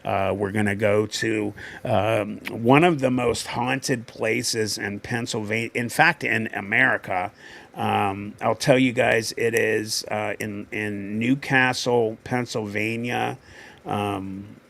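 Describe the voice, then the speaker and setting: A man hosting a casual livestream, talking haltingly and searching for words as he goes. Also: lowish voice, steady tone, vocal fry, fairly bright tone, slight background noise. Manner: halting, full of fillers and restarts while looking things up. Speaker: host.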